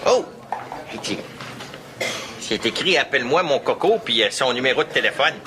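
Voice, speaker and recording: A man's voice: mid-range voice, animated, normal speed, crowd noise, close to an everyday voice. An actor with a Québécois accent playing a vain, rich man who boasts about his pull with women. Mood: smug. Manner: boastful, self-satisfied. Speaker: sitcom character, rich friend. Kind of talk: sitcom acting. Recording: TV sitcom audio with noise.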